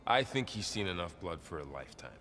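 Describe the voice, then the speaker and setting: An actor playing a patient male hunting mentor in a video game, coaching with calm instructions. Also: deep voice, fast talker, big-room sound, slight background noise. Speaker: hunting trainer.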